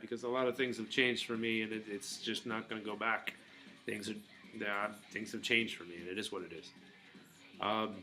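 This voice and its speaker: A middle-aged man talks to his viewers in a loose, rambling way, full of restarts and filler words. His voice is very bright and forward, set at a middle pitch. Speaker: vlogger. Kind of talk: casual rambling monologue to viewers.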